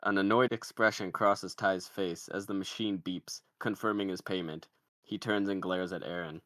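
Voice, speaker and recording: Deep-voiced man reading screenplay directions. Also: fairly even intonation, normal speed, fairly bright tone, no echo. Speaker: screenplay narrator. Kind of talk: scripted narration of comedy stage directions.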